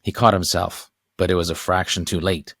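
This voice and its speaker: Male storybook narrator, deep voice, dry close recording, reading scripted adventure fiction. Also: flat delivery, normal speed, fairly bright tone, breathy edge, dry recording. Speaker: author reading own book aloud.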